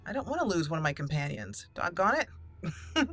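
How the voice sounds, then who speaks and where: A woman doing let's play commentary on a fantasy game, slipping into formal, deliberate in-character speech. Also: fairly low pitch, sing-song, a bit bright, soft background music.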